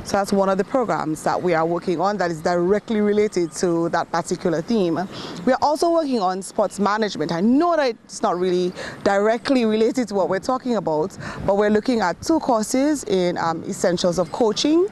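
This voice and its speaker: Male program director; formal, measured interview delivery; outdoor take with light background noise. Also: high-pitched, expressive, darkish tone. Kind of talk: news interview.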